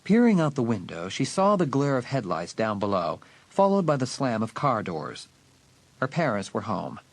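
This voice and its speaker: Husky male horror audiobook narrator. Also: medium pitch, wildly swooping pitch. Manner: suspenseful, deliberate emphasis. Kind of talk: dramatic scripted horror narration.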